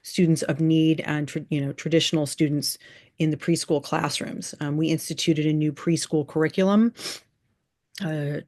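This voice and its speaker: Woman thinking aloud, halting delivery. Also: deep voice, steady tone, dark tone. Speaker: school board chair. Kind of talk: informal meeting remarks.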